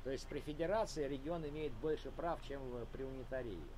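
A man's voice: medium pitch, a little fast, background noise. A man hosting a casual video chat debate, patiently arguing and repeating his points, in a room at home.

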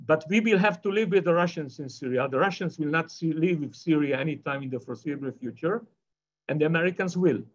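A man's voice: a little high, a little fast. An older man from Israel giving an online lecture from his study, talking in a discursive way with many fillers and restarts. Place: home study with bookshelves. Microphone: video call webcam. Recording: dull lo-fi video call audio.

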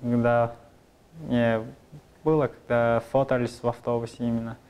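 A young footballer from Kazakhstan, speaking, giving short, low-key answers in a casual interview. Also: male voice, level tone, moderate pace, a little mellow, poor audio. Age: young adult. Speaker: football player guest. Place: club locker room studio. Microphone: lapel.

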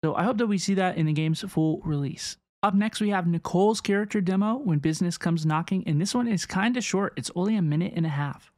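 A woman's voice: fairly low pitch, a little fast, hardly any pauses, a little mellow, no echo, studio quality. A woman giving casual, informal reaction commentary, hesitating and repeating herself as she goes. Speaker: streamer reacting to game trailers.

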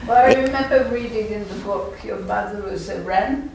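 Female audience questioner, casual delivery, distant in a strongly reverberant room with clear noise. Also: medium pitch, moderate pace. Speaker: audience member asking questions. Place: small hall, away from the mic. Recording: distant, very echoey, noisy room.

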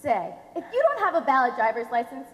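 A woman's voice: sits high, sing-song. A young woman playing a smug, pedantic, obstructive government clerk in a comic stage play. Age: teenager or young adult. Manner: pedantic, drawn-out deliberate delivery. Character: smug, officious, obstructive female DMV clerk. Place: theatre stage, recorded from a distance. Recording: echoey hall, noisy stage recording.

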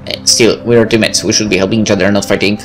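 A man's voice: on the low side, fairly even intonation, fast talker. A man reading a visual novel aloud as a gameplay host, breaking in with casual, joking, sweary asides. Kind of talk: let's play narration with casual asides. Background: game music.

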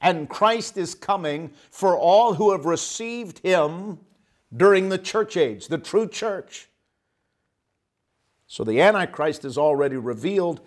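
A man in his fifties teaches scripture straight to camera from a small studio set, his voice a touch higher than most men's. He is emphatic, slowing right down to lean on key words. It's picked up cleanly on a lapel mic. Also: expressive. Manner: emphatic, gestures broadly, drawn-out stressed phrases. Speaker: preacher. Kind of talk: televised Bible teaching. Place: indoor studio set with guitar on wall. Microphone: lapel mic, seated at desk facing camera.

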